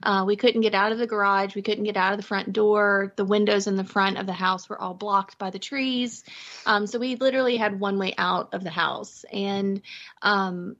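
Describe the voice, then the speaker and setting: A woman's voice: medium pitch, fairly even intonation, normal speed, a bit bright. Wry woman chatting, slightly muffled. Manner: wry, laughing as she talks. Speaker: podcast guest, prepper and mother. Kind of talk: casual anecdotal chat.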